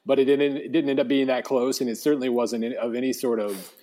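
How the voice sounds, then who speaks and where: A man co-hosting a sports podcast in a casual, halting, self-deprecating way, his voice slightly hoarse. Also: medium pitch, flat delivery, dark tone. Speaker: sports podcast host and writer. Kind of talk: casual football podcast chat.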